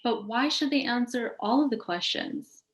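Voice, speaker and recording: A woman's voice: on the high side, animated, moderate pace. A woman presenting as a show host, reading prepared questions and remarks in a scripted interview style. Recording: roomy, echoing sound.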